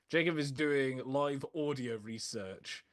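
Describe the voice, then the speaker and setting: A man from Wales co-hosting a casual film podcast, chatty and wry, with quick asides. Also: mid-range voice, fairly bright tone, dry recording, clean studio sound. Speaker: podcast co-host.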